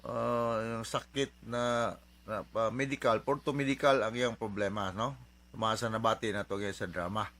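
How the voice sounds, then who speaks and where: A man's voice: on the low side, steady tone, normal speed, a bit bright. A man with a Cebuano accent, a doctor hosting an advice program, talking informally with English mixed in and frequent questioning tags. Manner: informal, conversational, often tags phrases with questioning interjections. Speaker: radio advice host, doctor and psychiatrist. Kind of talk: radio advice talk mixing in English.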